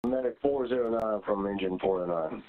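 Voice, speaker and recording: A man's voice: fairly low pitch, brisk. A man as a fire officer making terse, scripted procedure calls over a two-way radio. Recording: narrow, tinny fire radio transmission.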